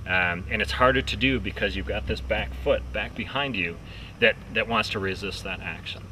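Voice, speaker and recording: A man's voice: on the low side, moderate pace, sharp tone. A man teaching water ski technique on a lakeside dock, explaining step by step as he shows the ski. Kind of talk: outdoor instructional demo. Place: outdoors on a dock by a lake. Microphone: distant camera mic. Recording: distant outdoor mic with light noise.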